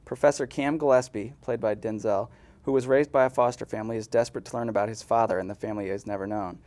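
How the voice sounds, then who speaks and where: Fast-talking male podcast co-host. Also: lowish voice, steady tone, a little mellow. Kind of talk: casual chat, some reading of prepared text.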